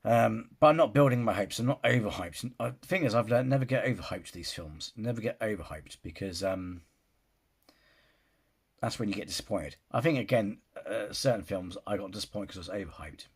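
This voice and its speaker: Husky-voiced man rambling on. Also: lowish voice, animated, brisk. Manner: rambling, restarts sentences, often says "you know". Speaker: film reaction vlogger. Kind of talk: casual opinion vlog.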